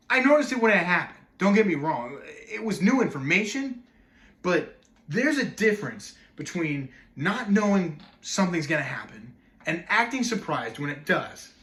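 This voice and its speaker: A man's voice: high voice, bright, forceful tone, distant mic, voice pushed up, heavily acted. A man performing a dramatic monologue as an indignant cynic ranting about television, emphatic, sarcastic and conversational.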